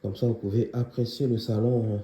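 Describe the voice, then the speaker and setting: A young man giving a casual tour of his apartment, pausing often with fillers as he walks around. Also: mid-range voice, little pitch movement, mellow, reverberant. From Congolese heritage, living near Paris. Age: young adult. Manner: hesitant, many filler pauses. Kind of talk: casual home tour vlog. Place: small apartment indoors.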